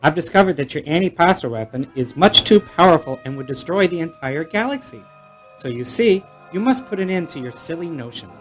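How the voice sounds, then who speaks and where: An actor playing an earnest, wholesome young space hero in a corny, declamatory retro radio serial style. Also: male voice, fairly high pitch, animated, a little fast, faint music behind, natural voice. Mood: upbeat, moralizing. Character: earnest, wholesome boyish space hero, gee-whiz good manners.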